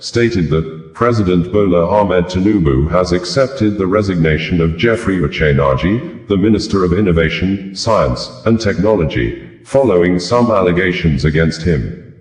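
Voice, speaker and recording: A man reading scripted news in a formal, deliberate way. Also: low voice, darkish tone, roomy sound. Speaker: news reader.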